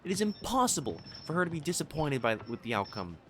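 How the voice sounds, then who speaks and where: A man's voice: a little high, sing-song, quick pace, a little hiss or hum. A man playing a pompous, easily flustered young divine matchmaker in a romantic audio roleplay, speaking formally and stammering. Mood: insecure, wistful. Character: haughty, flustered, lovestruck young male divine matchmaker in imperial China.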